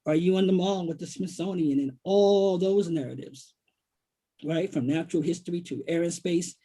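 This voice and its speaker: High-voiced, husky American man, musing. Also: dark tone. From Washington, D.C., United States. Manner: musing, halting, repeats phrases for emphasis. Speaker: poet and writer, guest author. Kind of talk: reflective literary conversation.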